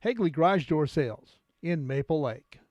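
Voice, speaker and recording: A man's voice: a little high, animated, normal speed, a little mellow, no room sound, muffled recording. A man with an American accent reading scripted radio commercials. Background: music.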